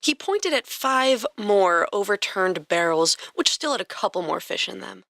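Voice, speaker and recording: A woman hosting a podcast and reading a story aloud as expressive scripted narration. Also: medium pitch, moderate pace, vocal fry, dry recording, studio quality. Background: music.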